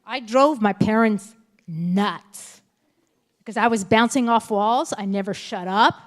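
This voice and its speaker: A woman of fifty-nine from California, Spanish her first language, giving a frank, lively keynote speech at a school assembly and talking straight to the audience. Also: mid-range voice, measured pace. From California, United States. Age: 50s. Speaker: keynote speaker. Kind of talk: candid motivational speech.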